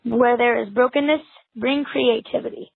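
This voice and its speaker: A woman leading a responsive spoken prayer in short, deliberate phrases, leaving pauses for response. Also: a little high, steady tone, vocal fry, breathy edge, no room sound. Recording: narrow phone-like sound, dry. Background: soft guitar music.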